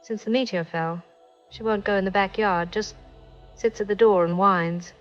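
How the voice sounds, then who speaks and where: A woman playing an uneasy, apologetic, halting caller to a radio show in a drama. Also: medium pitch, steady tone, lo-fi sound, soft background music, natural voice.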